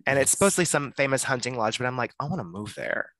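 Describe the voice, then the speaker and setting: Bright-voiced man, casual and rambling. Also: medium pitch, animated, fast talker, no room sound. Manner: friendly, rambling, trips over words. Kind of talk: casual conversation.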